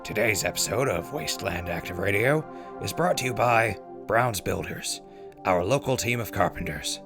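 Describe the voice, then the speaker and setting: A man plays a laid-back wasteland DJ with a low voice in an audio drama. He reads news and ads in a wry, grumbling, informal way over clearly audible music. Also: expressive, normal speed. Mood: wry, easygoing. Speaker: radio host and news reader. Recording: music behind voice.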